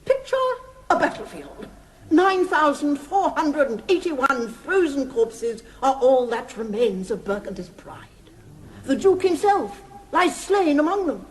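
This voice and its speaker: Theatrical female scholar, high swooping voice, excitable, declamatory, radio comedy. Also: a little slow, slight background noise. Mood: melodramatic. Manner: dramatic, declamatory, relishing each phrase.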